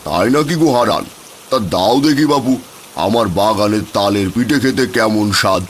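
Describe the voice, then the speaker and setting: Man playing a low-voiced kindly old village headman. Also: normal speed, close to an everyday voice. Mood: pleased. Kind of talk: Bengali cartoon fairy-tale voice acting. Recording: rain noise behind.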